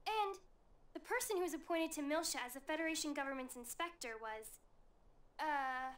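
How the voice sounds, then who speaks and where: Perky young female sidekick, very high voice, informal and well-meaning, anime game dub. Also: moderate pace, a bit bright. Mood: awkward, hesitant. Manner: perky, informal, stammers when flustered. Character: chirpy, familiar young female friend, awkwardly well-meaning. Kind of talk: English-dubbed anime-style game acting.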